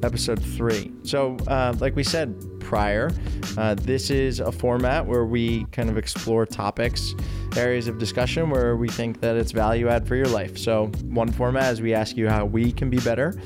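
A man's voice: medium pitch, level tone, moderate pace, music in the background. A man hosting a casual podcast interview in an upbeat, chatty, welcoming manner. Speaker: podcast host.

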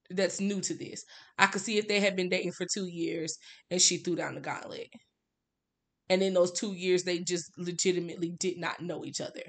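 A woman podcast co-host in casual conversation about books. She speaks candidly and emphatically, doubling back on phrases to drive a point home. Her voice sits at a middle pitch. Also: a bit bright. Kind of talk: casual book discussion.

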